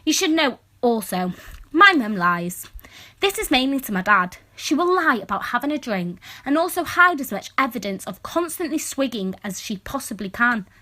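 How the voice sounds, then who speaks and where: A woman's voice: a little high, sharp tone. A woman voicing an exasperated, sardonic sixteen-year-old girl in an audiobook, telling her story in a wry, emphatic, complaining way. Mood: scandalised, exasperated. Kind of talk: first-person audiobook narration.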